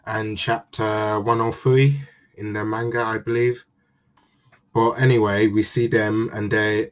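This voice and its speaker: A man hosting his own channel, reviewing a manga chapter in a chatty, earnest way and often restarting his phrases. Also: fairly low pitch. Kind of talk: casual spoken review monologue.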